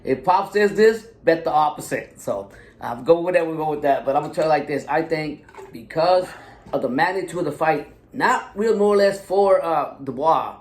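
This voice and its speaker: A man's voice: fairly high pitch, animated, normal speed, reverberant. A man co-hosting a boxing talk show, giving blunt, profane fight predictions. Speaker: co-host and fight analyst. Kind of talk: casual sports talk.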